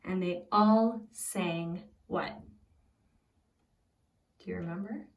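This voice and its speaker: Lady teacher doing a slow read aloud for little kids, asks them questions, roomy sound a bit far from the mic. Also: lowish voice, dull audio. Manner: simple, expressive, prompting listeners with questions. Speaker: preschool teacher reading a picture book aloud. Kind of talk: read-aloud storytelling with questions to young children. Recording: echoey room, distant from the mic.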